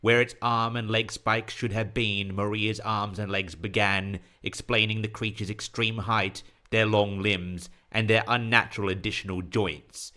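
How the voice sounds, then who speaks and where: A man narrating scripted horror fiction in a measured, literary storytelling manner, as the author and host of an audio story podcast. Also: on the low side, level tone, sharp tone.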